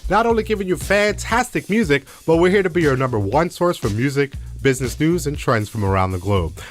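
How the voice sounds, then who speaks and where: A man's voice: on the high side, expressive, a little fast, faint music behind. A man co-hosting a radio show, explaining news step by step and putting rhetorical questions to the listeners. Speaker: radio co-host. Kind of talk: music business news commentary on a radio show.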